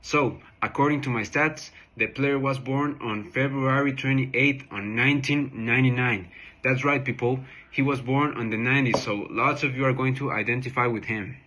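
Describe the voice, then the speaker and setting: A man hosting a show with a forceful, bright voice. He delivers a scripted introduction with emphatic, announcer-like phrasing, at an ordinary middle pitch. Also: normal speed, a little hiss or hum, poor audio. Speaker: show host introducing an interview.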